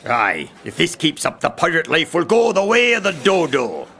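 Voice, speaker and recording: An actor playing a washed-up pirate sailor who gripes theatrically and nostalgically in a stage pirate accent. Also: male voice, on the high side, wildly swooping pitch, normal speed, bright, forceful tone, a little hiss or hum, heavily acted. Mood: glum. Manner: theatrical griping.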